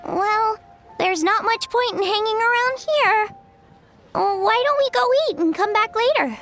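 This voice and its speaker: Squeaky, bubbly little fairy girl. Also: measured pace, fairly bright tone, soft background music. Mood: disappointed, chatty. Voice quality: squeaky, childlike. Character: chirpy tiny floating fairy companion girl, bubbly and hungry. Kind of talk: video game voice acting.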